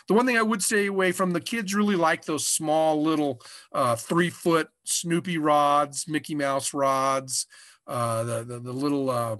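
A man in his sixties with an American accent, giving an informal lesson as an instructor, halting and often repeating his words. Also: a little high, pushed and bright, dry recording, clean studio sound. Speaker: fishing instructor. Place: small indoor room, curtain backdrop. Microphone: webcam video call.

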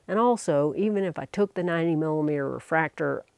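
A woman in her sixties talking to camera in a casual, unhurried, plain-spoken explanatory vlog style with dry humor. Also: deep voice, vocal fry, a little mellow, no room sound. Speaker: vlogger, amateur astronomer.

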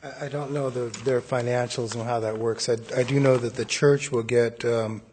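A man's voice: mid-range voice, steady tone, moderate pace, a little mellow, slight background noise. A man answering council questions in a council chamber, speaking plainly and admitting what he doesn't know.

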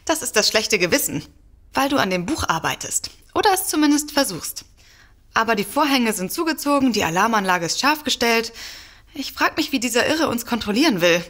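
Bold, confident sister. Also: female voice, on the high side, sing-song, moderate pace, a bit bright. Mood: teasing. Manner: confident, wry. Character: bold, teasing, defiant sister, a novelist. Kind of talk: German audio drama acting.

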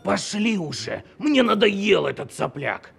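A man playing a teasing, gruff two-headed superhero with a gravelly voice in an audio drama. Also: high voice, sing-song, measured pace, slight background noise, full character voice. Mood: grumpy, dismissive.

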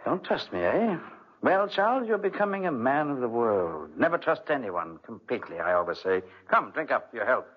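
An actor playing a scheming, gloating villain in an oily, mock-genial manner, in 1940s radio drama style. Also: male voice, medium pitch, big pitch swings, moderate pace, slightly rough. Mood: sly. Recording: muffled, noisy 1940s radio recording.

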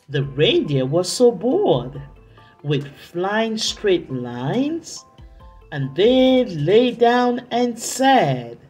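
Very slow, animated woman storyteller. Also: medium pitch. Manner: deliberate, dramatic emphasis, drawn-out words. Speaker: children's storytime reader. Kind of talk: expressive read-aloud narration for children.

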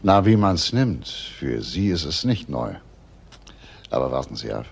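An actor playing a shrewd police inspector in a crime drama, talking in a probing, conversational way. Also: male voice, very deep voice, very animated, a little slow, gravelly, heavily acted. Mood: calm, probing. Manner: conversational, probing, tag questions. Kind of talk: German crime drama acting.

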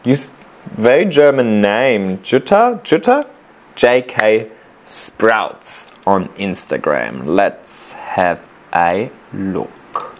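A man with a somewhat low voice talks through plant-care advice in a loose, chatty way. He drifts between points with small fillers and restarts. It sounds like an easygoing creator commenting on viewers' clips. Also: very slow, slight background noise. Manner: relaxed, chatty, giving practical tips with fillers. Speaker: plant content creator reacting to viewer videos. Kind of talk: casual explanatory commentary.

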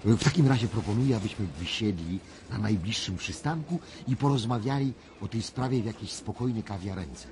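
An actor playing a mysterious, intense clairvoyant in a radio drama, speaking deliberately with dramatic, weighty pauses. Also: male voice, on the low side, lively intonation, darkish tone, slight background noise. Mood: polite, probing. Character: male clairvoyant, solemn, intense, mysterious seer. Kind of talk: 1990s radio drama acting.

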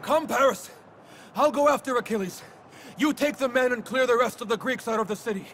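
A man's voice: very high-pitched, fairly quick, a bit bright, a little hiss or hum. A man playing a resolute, commanding Trojan warrior prince in a video game, firm and urgent. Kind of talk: dramatic video game acting.

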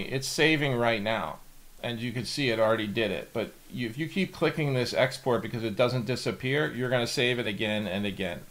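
A middle-aged man, explaining software step by step in a casual, plain tutorial style. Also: mid-range voice. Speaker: tutorial host. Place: indoor home office.

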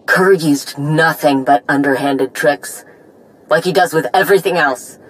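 A woman playing a tough, loyal young warrior in a video game, blunt and earnest, with a slightly husky voice. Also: low-pitched, measured pace, a little hiss or hum, low-quality recording. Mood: bitter, seething. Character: tough, vengeful yet loyal armored Mandalorian warrior woman. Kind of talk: video game cutscene acting.

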